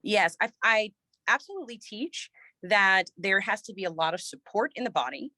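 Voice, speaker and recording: A woman's voice: medium pitch, expressive, normal speed, bright, forceful tone, no room sound. A woman choir director and voice teacher, a little scratchy from a recent cold, answering interview questions thoughtfully with many restarts.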